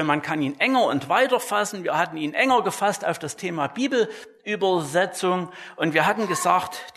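A man delivers an explanatory church lecture. His voice sits a little high for a man and carries a strong creak. He speaks deliberately and didactically, like a teacher setting out points for his congregation. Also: fairly bright tone. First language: German. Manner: deliberate, didactic, often adding "ja" as a tag.

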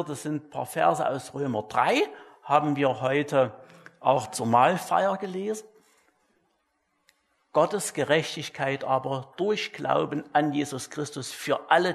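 A man giving an explanatory church talk in a deliberate, didactic way, often tagging his points with a short "ja". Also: on the high side, gravelly, slightly rough. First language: German. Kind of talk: explanatory church lecture on Bible translation.

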